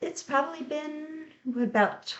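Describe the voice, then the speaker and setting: A woman from Florida speaks at a middle pitch, explaining steadily with the odd "um." The room echoes around her, and the sound is slightly dull and lo-fi. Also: unhurried. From Tampa, Florida, USA. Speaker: guest. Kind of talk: casual chat.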